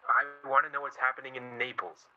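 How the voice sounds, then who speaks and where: An actor playing an earnest, gently teasing young newspaperman of the 1940s in a radio play. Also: mid-range voice, flat delivery, quick pace, highs cut off, close to an everyday voice.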